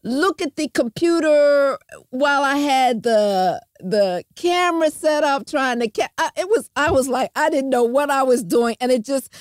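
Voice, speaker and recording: A woman's voice: high voice, measured pace, no echo, studio quality. An older woman hosting a podcast, chatting casually and upbeat, joking about herself and laughing easily. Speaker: podcast host. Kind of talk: casual interview chat.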